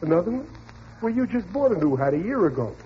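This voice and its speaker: A stubborn, bumbling working-class father in an old radio sitcom. The voice is pitched high for a man, full of exasperated bluster. The recording is dull and noisy. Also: very animated, fast talker. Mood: grumbling. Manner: exasperated, blustery, muddled sayings. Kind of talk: 1940s radio sitcom acting.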